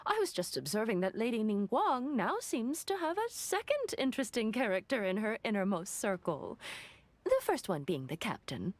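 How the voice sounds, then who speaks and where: A woman playing an eager, chatty young woman in a video game, expressive and polite with a gossipy, admiring manner. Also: a little high, normal speed. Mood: gossipy, teasing. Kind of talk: scripted video game voice acting.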